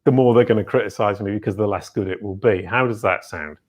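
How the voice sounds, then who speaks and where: Excitable middle-aged man hosting an interview. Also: lowish voice, quick pace. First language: English. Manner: excitable, interrupts, stumbles and repeats words. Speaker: podcast host. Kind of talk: casual interview chat.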